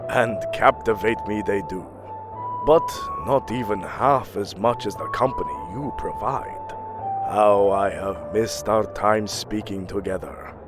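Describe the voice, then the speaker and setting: A man's voice: lowish voice, unhurried, close to an everyday voice. An actor playing a proud, aging king of the Norse gods in a fantasy audio drama, grandiose and commanding. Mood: warm, courtly. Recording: music under the voice.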